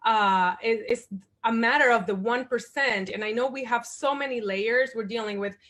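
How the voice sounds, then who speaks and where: A woman's voice: a little high, normal speed, fairly bright tone. Dominican woman speaking on a panel. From Dominican Republic. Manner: thoughtful, many fillers and restarts. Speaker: panel guest. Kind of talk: panel discussion.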